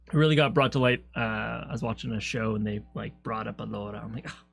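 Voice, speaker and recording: A man's voice: on the low side, quick pace, vocal fry, breathy edge. A man from Canada streaming a game, chatting casually, joking and laughing often. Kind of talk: casual gameplay chat.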